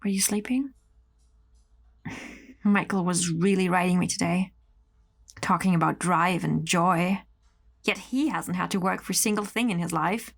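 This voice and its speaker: A woman's voice: on the low side, measured pace, creaky, pauses often, husky, exaggerated voice. A woman playing a jaded, resentful young office worker in an audio horror drama. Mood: tender, bitter.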